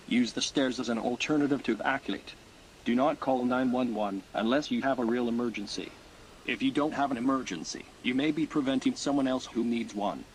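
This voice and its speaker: A man acts as a solemn announcer for an emergency broadcast. He delivers scripted instructions formally, in a medium-pitched voice with clear noise in the recording. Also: lightly acted. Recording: noisy broadcast-style recording.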